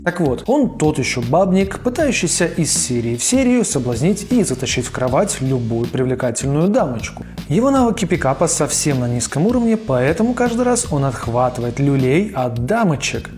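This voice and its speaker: A man's voice: fairly high pitch, very animated, normal speed, hardly any pauses, darkish tone. A young man narrating a video essay in a conversational, slangy and opinionated way. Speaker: host of an animation review channel. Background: background music.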